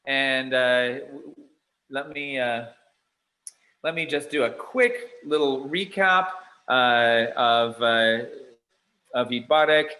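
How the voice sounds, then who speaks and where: A man hosting an online spirituality conference, effusive and halting with many fillers. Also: mid-range voice, sharp tone. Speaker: event host.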